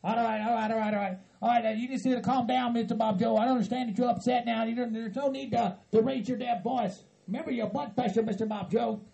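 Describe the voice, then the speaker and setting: A man voicing an earnest Southern hillbilly kinsman who stammers, repeats himself and tries to calm others down. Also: very high voice, fast talker, gravelly, hardly any pauses, exaggerated voice, rough recording. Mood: placating. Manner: stammering, repetitive, pleading for calm.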